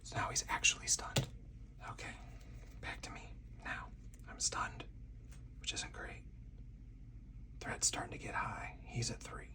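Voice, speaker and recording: A man speaking in a hushed ASMR whisper, thinking aloud as he talks through each move of a solo card game. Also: a little slow, pauses often, recorded from a distance.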